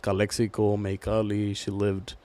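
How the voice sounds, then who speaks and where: A man's voice: on the low side, completely flat delivery, moderate pace, warm tone, no echo. A young man from Southern California chatting casually with friends on a podcast, with slangy, profane speech. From Southern California, United States. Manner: profane, slangy, fond of filler phrases. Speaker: podcast host.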